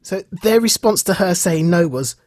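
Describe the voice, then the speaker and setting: A man's voice: high voice, expressive, moderate pace, darkish tone, no room sound, clean studio sound. A man from England with a British accent, co-hosting a podcast and telling anecdotes conversationally, acting out reactions as he goes. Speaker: podcast co-host. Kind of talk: casual chat, telling anecdotes.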